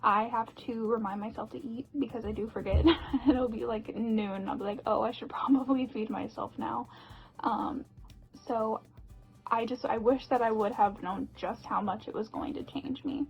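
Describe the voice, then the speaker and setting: A woman's voice: on the high side, steady tone, moderate pace, creaky, muffled recording, soft background music. Chatty young woman vlogging with laughs. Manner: chatty, frequent laughs and "um" fillers. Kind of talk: casual personal vlog talk.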